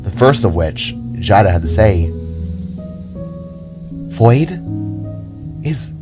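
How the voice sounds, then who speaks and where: A man with a low voice narrates a scripted fantasy story in a dramatic audio-drama style. Music and rain play behind his reading.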